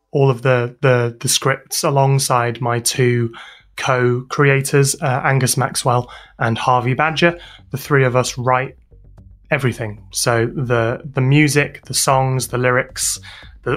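A man's voice: medium pitch, fairly bright tone. A British man giving casual interview answers as a guest, informal and hesitant as he thinks aloud. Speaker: interview guest, podcast sound designer and composer.

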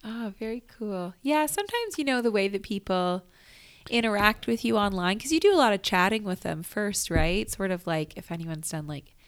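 A woman's voice: medium pitch, lively intonation, normal speed, clean tone. A woman hosting a casual radio interview in a chatty, affirming way. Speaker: interviewer, radio show host.

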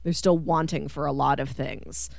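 A woman's voice: sits low, fairly even intonation, moderate pace, gravelly, no room sound. A woman co-hosting a podcast, talking casually about a film and animatedly voicing what people might be thinking. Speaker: podcast co-host. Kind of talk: casual film discussion chat.

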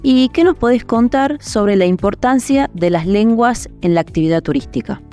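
A woman's voice: a little high, lively intonation, moderate pace, warm tone, soft background music. A woman from Argentina with an Argentine accent, an interviewer asking curious follow-up questions. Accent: Argentine Spanish. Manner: inquisitive, asks follow-up questions.

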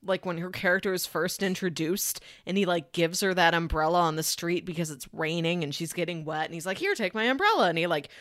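A woman co-hosting a podcast, talking casually in a playful, expressive way. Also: fairly low pitch, fairly quick, few pauses, no room sound, studio quality. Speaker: podcast co-host.

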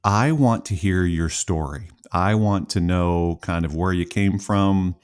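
A man with a low voice hosting a podcast in a relaxed, conversational way. He rambles through long asides and points out his own rambling. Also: unhurried, clear, resonant tone. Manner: rambling, talkative, self-aware. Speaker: podcast host. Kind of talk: casual interview conversation.

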